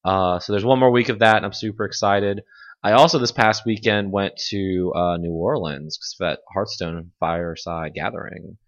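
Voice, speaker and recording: A man's voice: lowish voice, fairly even intonation, moderate pace. A man co-hosting a podcast, chatting casually with lots of fillers and the occasional laugh. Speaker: podcast co-host. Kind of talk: casual chat. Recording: dull lo-fi audio.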